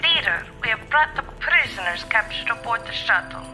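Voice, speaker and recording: A woman's voice: a little high, animated, normal speed, bright, forceful tone, faint music behind, rough recording. A woman playing a stern, obedient armored Valkyrie warrior in an audio drama, clipped, formal and commanding.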